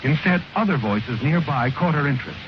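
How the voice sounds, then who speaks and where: An actor narrating a 1940s radio crime drama as an ominous, mocking storyteller who speaks insinuatingly, straight to the character. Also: male voice, on the high side, expressive, fairly quick, muffled recording, close to an everyday voice. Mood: brooding. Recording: noisy, band-limited old radio recording.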